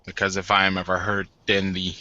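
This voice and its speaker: Male player as teasing, newly married werewolf warden, blunt tabletop game character. Low-pitched and very bright, lo-fi capture. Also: completely flat delivery, fairly quick, dry recording. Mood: earnest. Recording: dull lo-fi audio.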